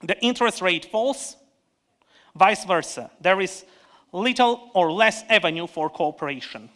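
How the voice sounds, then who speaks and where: Bright-voiced man with an Armenian accent. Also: high-pitched, expressive. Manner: measured, earnest, with restarts and pauses. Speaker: panel speaker, economist. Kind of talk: prepared conference speech.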